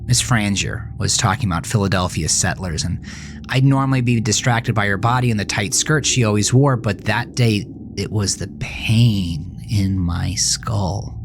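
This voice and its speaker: A man playing a troubled teenage boy who narrates his own horror story in a brooding, confessional way, often dropping to a hushed murmur. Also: fairly low pitch, moderate pace, lightly acted. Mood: uneasy, pained. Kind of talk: dramatic first-person horror audio narration. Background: music.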